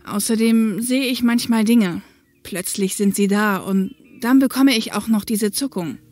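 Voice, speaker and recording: A woman's voice: on the high side, measured pace, darkish tone, no room sound. A woman playing a proud, defiant police co-pilot under pressure in a German audio drama. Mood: hesitant.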